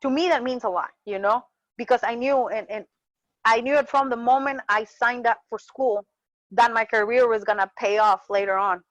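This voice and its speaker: A woman's voice: on the high side, normal speed, no echo. A woman from Mexico with a Mexican accent, talking passionately in a casual interview and often repeating words as she searches for them. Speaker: wrestling champion guest. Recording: dull muffled dry sound.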